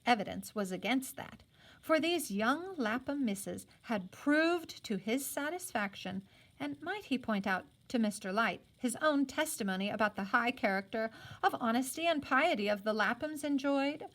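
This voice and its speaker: A woman reads a story aloud with a teacher's expressive delivery. Her voice sits fairly high and moves widely up and down as she narrates. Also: normal speed. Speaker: teacher reading a book aloud. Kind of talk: expressive story read-aloud with brief explanatory asides.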